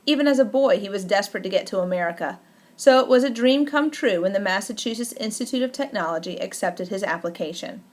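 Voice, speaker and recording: A woman reading a first-person memoir aloud as an audiobook, in a measured, deliberate way with careful emphasis. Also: medium pitch, expressive. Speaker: audiobook narrator.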